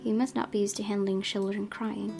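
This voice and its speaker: A woman reading a visual novel aloud as a gaming host, in expressive, dramatic storytelling narration. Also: mid-range voice, brisk, vocal fry, music in the background.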